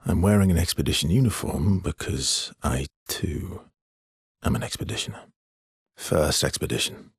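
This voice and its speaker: A guarded, world-weary immortal expeditioner in a video game drama. His voice is very husky and deep, moving expressively between soft hesitance and protective earnestness, recorded dry and close. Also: moderate pace, dry recording, full character voice. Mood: calm, guarded. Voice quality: husky, breathy, gravelly low voice. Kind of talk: dramatic video game voice acting, naturalistic and understated.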